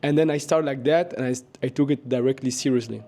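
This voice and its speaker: Belgian-born man, halting and animated. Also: medium pitch. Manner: hesitant, many fillers, acts out quoted talk. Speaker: interviewee, life coach. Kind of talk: casual street interview. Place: outdoor terrace by a villa. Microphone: handheld mic held by interviewer.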